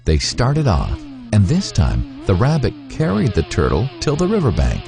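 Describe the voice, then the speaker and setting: A man narrating a scripted moral fable in a measured, instructive way, with deliberate phrasing. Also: fairly low pitch, wildly swooping pitch, vocal fry, over music. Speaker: storyteller, narrator.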